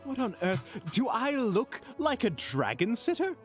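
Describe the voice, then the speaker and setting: A complaining, reluctant companion on a fantasy journey, acted by a man, grumbles with open indignation. The delivery is lively and swooping, with faint music behind it in this audio roleplay. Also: very high-pitched, a little slow, full character voice. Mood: indignant, grumbling. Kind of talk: audio roleplay drama acting.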